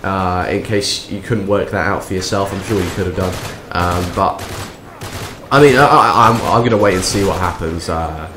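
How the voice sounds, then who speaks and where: Young man, croaky nasal voice from a cold, lowish pitch, rambling and sarcastic, echoey room, faint game audio. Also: moderate pace, noisy background. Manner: rambling, sarcastic, many restarts. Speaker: gaming commentator. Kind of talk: casual gameplay commentary.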